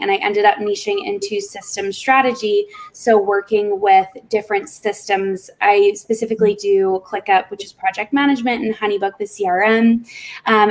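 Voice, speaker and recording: A woman's voice: medium pitch, rough recording. A woman business owner, a guest on a video call panel, talking in an upbeat, chatty way.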